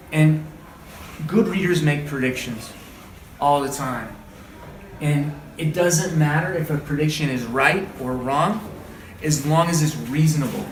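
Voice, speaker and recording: A man reading a story aloud as a teacher, with dramatic, deliberate phrasing and questioning asides. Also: on the high side. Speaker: read-aloud teacher and trainer. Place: indoor room, training session. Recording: echoey room, distant mic, background noise.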